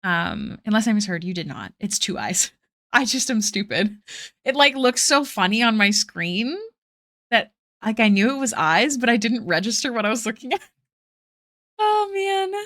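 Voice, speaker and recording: A woman's voice: fairly high pitch, sing-song, moderate pace, a bit bright. A young woman chatting casually with viewers while drawing on a live stream, giggly and self-deprecating.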